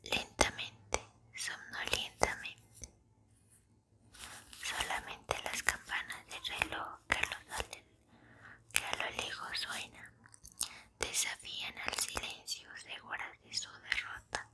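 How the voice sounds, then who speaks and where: A young woman delivers ASMR in an unvoiced, breathy whisper right up at the mic. She goes slowly and soothingly, leaving deliberate pauses, with very little movement in pitch. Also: completely flat delivery. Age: 20s. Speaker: ASMR host. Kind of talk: whispered ASMR poetry reading and chat. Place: small room at home, indoors. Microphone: large foam-covered microphone very close to mouth.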